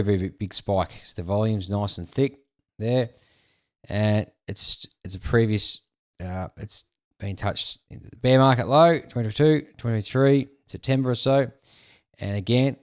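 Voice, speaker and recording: A young Australian man in his twenties casually talking through market charts, rambling with drawn-out fillers and a frequent "okay". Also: fairly low pitch. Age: 20s. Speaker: crypto video host.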